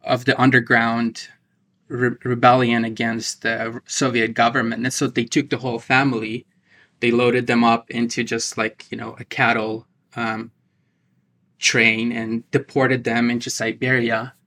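A man, recalling his family history in an interview, halting with many restarts and fillers. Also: medium pitch, little pitch movement, bright, forceful tone. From Ukraine. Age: 30s. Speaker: interview guest, divinity student. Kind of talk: personal recollection in an interview.

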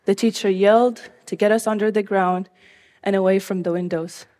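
A woman from Syria recounts her own life in the first person, speaking in short, measured phrases. Her voice sits in a middle pitch. The sound carries a long reverb, as if recorded in a large hall. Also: fairly even intonation, mellow. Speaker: storyteller.

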